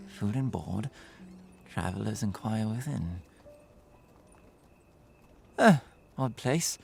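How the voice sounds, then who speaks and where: Hesitant, weary travelling merchant. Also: male voice, a little high, husky, exaggerated voice. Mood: weary, musing. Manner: hesitant, halting, muttering to himself. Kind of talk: fantasy audio drama acting.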